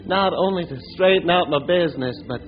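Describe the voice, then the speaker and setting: An actor playing a proud, plain-spoken young rancher in an old-time radio western, speaking in a halting, earnest way. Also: male voice, high-pitched, lightly acted. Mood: humbled, grateful. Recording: muffled, noisy old radio recording.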